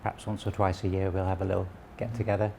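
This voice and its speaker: Easygoing low-voiced man from Wales, around 60. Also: level tone, fast talker, vocal fry, mellow, a little hiss or hum. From southwest Wales. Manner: easygoing, explains at length with small restarts. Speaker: history website founder, interview guest. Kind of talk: relaxed conversational explanation.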